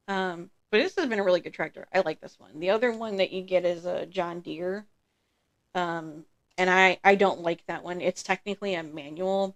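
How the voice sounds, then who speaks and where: A woman streaming a farming game, chatting casually through her gameplay in a relaxed, rambling way, a little congested from allergies. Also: on the low side. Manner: relaxed, chatty, trailing off with ums.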